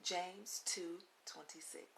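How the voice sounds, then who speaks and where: A woman reading a children's book aloud as a storytime host, with deliberate, instructive phrasing and emphatic pauses, on a recording with audible hiss. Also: on the low side, steady tone, creaky, a bit bright, poor audio. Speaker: read-along storytime host. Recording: audible hiss and background noise.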